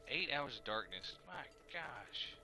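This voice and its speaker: A man's voice: mid-range voice, sing-song, slow, bright, forceful tone, faint music behind. A man with a Kentucky accent casually commenting on a game he plays live, chatting easily with viewers and muttering to himself. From Kentucky, USA. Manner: easygoing, mutters to himself while playing, answers chat. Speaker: gaming livestreamer.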